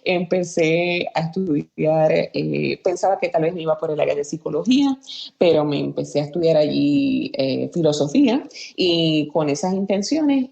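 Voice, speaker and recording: A woman's voice: deep voice, expressive, moderate pace, vocal fry, mellow, lo-fi sound. A Puerto Rican woman in her thirties with a Puerto Rican accent, a journalist guest, speaking hesitantly with many fillers and restarts over a remote call. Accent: Puerto Rican Spanish. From Aguada, Puerto Rico. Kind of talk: casual interview chat.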